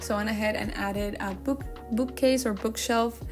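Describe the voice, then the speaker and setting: A woman's voice: medium pitch, steady tone, normal speed. A woman whose first language is Spanish, giving casual, chatty gaming commentary in an informal way, sometimes searching for her English words. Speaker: gaming video creator. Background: background music.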